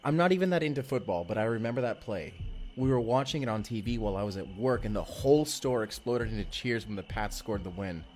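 A man's voice: fairly low pitch, lively intonation, fast, darkish tone, a little hiss or hum, natural voice. An actor playing an earnest, anxious young man stranded from the future, talking in a hesitant, rambling, conversational way in an audio roleplay. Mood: casual. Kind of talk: first-person audio roleplay acting. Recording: music behind the voice.

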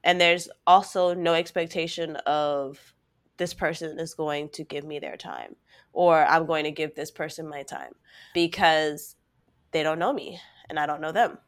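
A woman with a cold, her voice stuffy and a little lower than most. She chats casually as a podcast co-host, telling stories and filling her talk with "like". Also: unhurried. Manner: chatty, storytelling, leans on "like" a lot. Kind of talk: casual chat.

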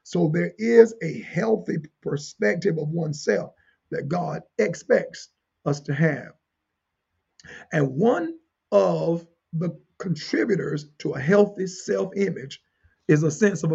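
A man delivers a teaching sermon in a high-set voice that is markedly hoarse-edged and full of gravelly creak. He is deliberate and emphatic, circling back to key phrases and putting questions to his listeners. The recording sounds somewhat dull. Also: lively intonation, darkish tone. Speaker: preacher.

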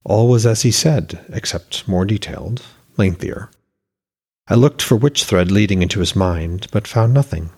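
A man voicing a composed, respectful goddess of magic in a fantasy story, speaking in a measured, deferential way. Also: lowish voice, mellow, breathy edge.

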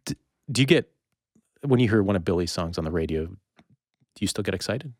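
A man hosting a casual interview podcast, speaking haltingly and rephrasing his thoughts and questions as he goes. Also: low voice, lively intonation, no echo, studio quality.

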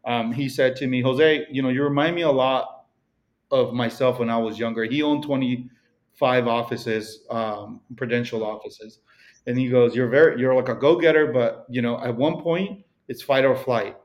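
A man with a Mexican accent speaks as a guest in a casual interview. He wanders through his thoughts with plenty of fillers and false starts, and his voice sits at an ordinary middle pitch. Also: fairly even intonation, normal speed. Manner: rambling, many fillers and restarts. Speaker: guest, real estate broker.

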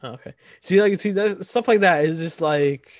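Young male podcast host, 20, loose filler-heavy rambling, higher-pitched, dry and close-miked. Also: normal speed, no room sound. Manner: rambling, filler-heavy, keeps asking "right?". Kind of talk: casual podcast chat.

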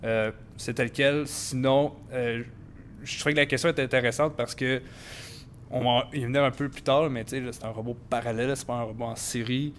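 A young man, chatting as a podcast guest in a relaxed, self-mocking way. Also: medium pitch, fairly quick, slight background noise. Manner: relaxed, self-mocking, uses English slang. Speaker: guest, robotics master's graduate. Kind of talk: casual interview chat.

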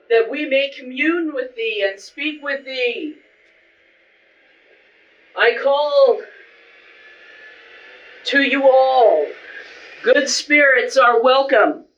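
A seance leader guides a group in a high, slow voice. The sound is slightly dull and echoey, picked up away from the microphone. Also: fairly bright tone. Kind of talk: guided ritual, incantation. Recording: roomy, dull, distant microphone.

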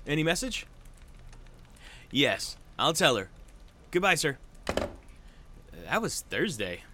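An actor playing a fussy, flustered office colleague, brisk and exasperated, in a 1940s-style radio comedy. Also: male voice, mid-range voice, pushed and bright, a little hiss or hum.

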